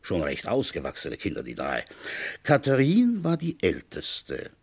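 A middle-aged police inspector in a radio crime play. His voice is low and husky, and it moves expressively as he narrates deliberately or puts firm questions. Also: wildly swooping pitch, full character voice. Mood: reflective, grave. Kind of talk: German radio crime drama acting and first-person narration.

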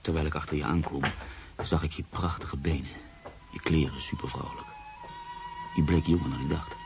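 A man's voice: extremely low pitch, steady tone, moderate pace, creaky. An actor playing a proud, troubled middle-aged writer in a radio drama, formal and hesitant, brooding as he narrates. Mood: brooding, reflective. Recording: radio play with music underneath.

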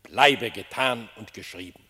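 A man's voice: fairly low pitch, sharp tone, husky, big-room sound, full character voice. A stately lion king in a radio play. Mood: regal. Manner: stately, measured. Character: gracious commanding lion king.

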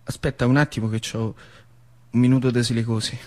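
A man's voice: medium pitch, level tone, fairly quick, darkish tone, natural voice. An actor playing a plain-spoken old factory worker with a central Italian Lazio dialect, telling his memories emphatically in a stage monologue. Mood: reminiscing. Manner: emphatic storytelling with repeated phrases. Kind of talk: theatrical monologue.

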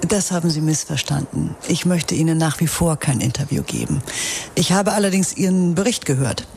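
A woman's voice: low-pitched, normal speed, vocal fry, a little mellow. Casting: icy woman company boss, radio drama, formal, disdainful, slight background noise. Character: cold, haughty female company boss.

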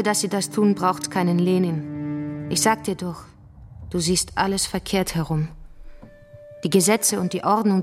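A woman's voice: medium pitch, lightly acted. An actress playing a fervent revolutionary woman in a German radio drama, arguing in a scornful, didactic way. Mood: combative, lecturing. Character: fervent, combative revolutionary woman defending the workers' councils. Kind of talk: German radio drama dialogue, polemical debate.

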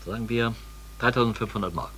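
A man's voice: lowish voice, fairly quick, pushed and bright. A man playing a sardonic, pointed sceptic in a scripted radio play, on a noisy old cassette with hum. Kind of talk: radio feature dialogue, scripted.

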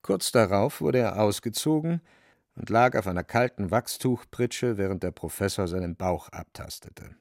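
A gravelly male narrator of middling pitch, recorded close and dry. He reads literary prose for a radio drama in a scripted storytelling style. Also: lively intonation, normal speed, a little mellow, dry recording. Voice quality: creaky, dry.

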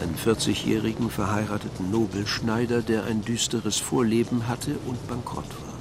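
A man's voice: medium pitch, creaky, noisy background. A man narrating a radio crime drama from a script, in a wry storytelling manner.

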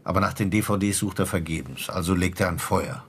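Police inspector for radio crime drama: very low, husky, dry and businesslike. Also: male voice, brisk, a bit bright. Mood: matter-of-fact. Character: seasoned police inspector, male, dry and matter-of-fact. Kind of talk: naturalistic German radio crime drama dialogue.

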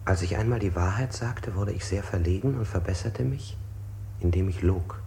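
Haunted interrogation victim for radio drama: dark, subdued tone, deliberate self-correcting delivery, light tape hiss. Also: male voice, deep voice, creaky. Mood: haunted, measured. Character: interrogated man, haunted, recounting and correcting himself. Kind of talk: German radio play acting. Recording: hissy 1960s radio play recording.

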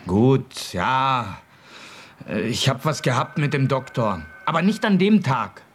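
A man's voice: medium pitch, very animated, takes it slowly, distant mic, slight background noise. An actor playing a cocky, crude male suspect in a radio crime drama.

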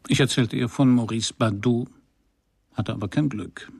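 An actor playing a laconic, courteous Parisian private detective in a radio crime drama, speaking and narrating with a dry, gravelly, husky voice. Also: male voice, medium pitch, normal speed, darkish tone, dry recording. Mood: dry, wry. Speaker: detective and first-person narrator.

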